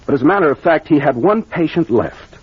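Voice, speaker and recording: Confident detective captain, American, 1940s radio mystery style, animated pitch, muffled old recording. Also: male voice, husky. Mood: assured, explanatory. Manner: deliberate, authoritative, explanatory. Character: confident young male detective captain explaining a case. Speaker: actor playing the investigator.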